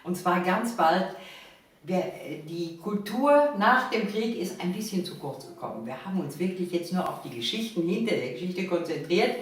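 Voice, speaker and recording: A woman's voice: on the low side, expressive, normal speed. Older woman hosting, heavily echoing room. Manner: emphatic, frequently affirming the guest. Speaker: host. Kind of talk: casual chat, hosting. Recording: very echoey room, distant mic.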